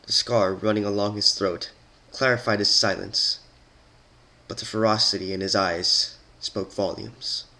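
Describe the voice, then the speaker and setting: Bright-voiced man narrating dramatically. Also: fairly low pitch, fairly even intonation, a little slow, low-quality recording. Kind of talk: dramatic scripted story narration.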